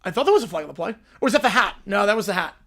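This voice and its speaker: Twenty-something guy from long island doing live football play by play, highish voice, chatty. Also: sing-song, rapid-fire, sharp tone. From Long Island, New York. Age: 20s. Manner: chatty, answers viewer questions between plays. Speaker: livestream host and play-by-play commentator. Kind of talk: casual live play-by-play with viewer chat.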